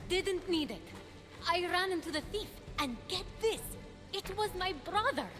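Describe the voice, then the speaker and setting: A woman's voice: very high voice, animated, unhurried, music in the background. A woman playing a plucky, eager young warrior heroine in a video game, spirited and earnest. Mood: excited. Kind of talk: video game cutscene acting.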